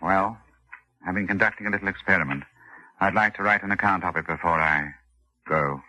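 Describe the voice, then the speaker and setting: A man's voice: low-pitched, brisk, sharp tone, low-quality recording. An actor playing an educated, brooding doctor who faces his killer, speaking formally and earnestly in 1940s radio suspense style. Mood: subdued. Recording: vintage radio drama with music behind.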